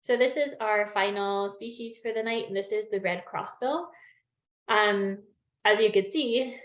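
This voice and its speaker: Young woman, mid-pitched, friendly teacher of bird identification on a webinar, lots of ums, a little hoarse, echoey room. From Newfoundland. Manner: friendly, explanatory, many ums. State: losing her voice, clearing throat. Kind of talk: online webinar lesson. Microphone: video call. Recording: echoey room on a video call.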